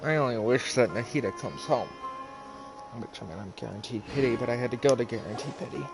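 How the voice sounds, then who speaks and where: A man's voice: mid-range voice, fast, poor audio. A man giving casual commentary as he livestreams a video game. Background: faint game music.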